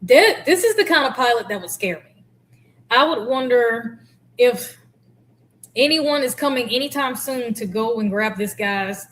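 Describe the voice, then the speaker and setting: A woman's voice: a little high, fairly bright tone. A woman giving casual, candid explanatory commentary as a former air traffic controller.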